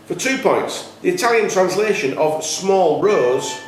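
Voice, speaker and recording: A man working as a quiz host, reading questions aloud in a deliberate, enunciated way. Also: high voice, lively intonation, faint music behind. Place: echoey indoor room at a cricket club. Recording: very echoey room, faint background noise.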